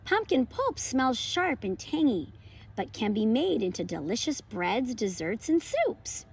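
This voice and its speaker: Animated, high-pitched woman teaching children. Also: a little slow, gravelly, slightly rough. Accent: American. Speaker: homeschool educator and channel host. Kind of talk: presenting lessons and expressive children's read-aloud.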